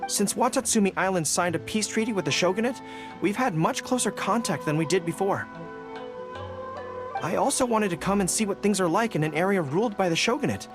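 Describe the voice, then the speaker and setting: Brief: woman playing a boyish canine general for a game, earnest and easily flustered, very animated delivery, music underneath. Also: mid-range voice, a little fast, close to an everyday voice. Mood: uneasy, candid. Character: earnest young dog-eared male general, polite, easily flustered. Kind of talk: English video game voice acting.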